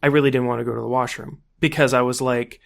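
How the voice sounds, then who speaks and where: A man's voice: medium pitch, fairly even intonation, fast, gravelly. A man chatting casually as a podcast guest, in a rambling, anecdotal way. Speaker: podcast guest who hosts his own podcast. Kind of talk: casual chat.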